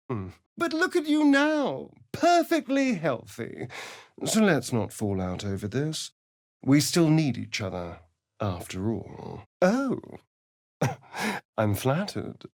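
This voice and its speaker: A man's voice: medium pitch, exaggerated voice. An actor playing a sardonic, flirtatious male vampire spawn elf in a video game, with a theatrical, teasing drawl.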